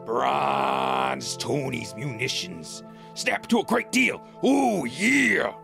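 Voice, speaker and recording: Very gravelly swaggering ghoul pitchman. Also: big pitch swings, drawn-out words, bright, forceful tone, full character voice. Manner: boastful, hammy. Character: swaggering, muscle-flexing male ghoul arms dealer pitchman. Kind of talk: hammy radio commercial in an audio drama. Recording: music bed under the voice.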